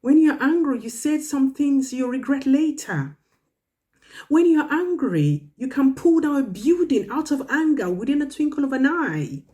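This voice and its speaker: A woman's voice: high-pitched, big pitch swings, measured pace, warm tone. A woman storyteller speaking to children, drawing moral and religious lessons in an earnest, emphatic, instructive manner.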